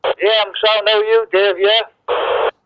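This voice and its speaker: A man's voice: high voice, level tone, takes it slowly, background noise. A man chatting informally in radio slang as a hobbyist, heard through a CB radio. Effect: CB radio transmission. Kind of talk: casual radio chat. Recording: muffled CB radio link.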